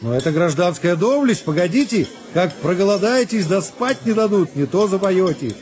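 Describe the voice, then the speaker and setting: A man playing a self-satisfied, over-familiar male schoolteacher in a literary radio play, loud and jovial. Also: high-pitched, expressive, moderate pace, soft background music. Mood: teasing.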